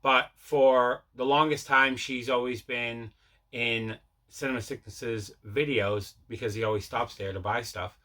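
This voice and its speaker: A man's voice: mid-range voice, steady tone, a little slow, sharp tone. A man hosting a casual vlog about his collection, rambling and repeating himself as he talks. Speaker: host of a mail day collecting vlog. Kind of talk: casual chat showing movie pickups.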